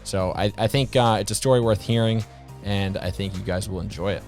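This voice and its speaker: A man's voice: lowish voice, fairly even intonation, fairly quick, a little mellow, faint music behind. A man hosting a podcast, interviewing in an informal, conversational way with colloquial phrasing. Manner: informal, says dude and like. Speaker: podcast host. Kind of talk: casual interview.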